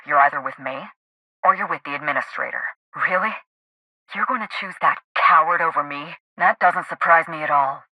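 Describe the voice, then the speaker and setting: A woman's voice: low-pitched, normal speed, sharp tone, dry recording, heavily acted, poor audio. An actress playing a sardonic, grieving woman out to avenge her sister in a video game, speaking articulately with dry, controlled sarcasm. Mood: suspicious, confrontational. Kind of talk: video game dialogue acting.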